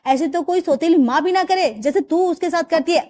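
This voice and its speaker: A woman voicing a stern older mother-in-law who speaks in a heavy, sighing, low tone. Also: a little fast, a little mellow. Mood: scolding.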